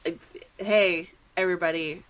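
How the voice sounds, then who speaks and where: Low-pitched woman chatting as podcast host. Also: fairly even intonation, normal speed, crowd noise, poor audio. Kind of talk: casual podcast interview chat.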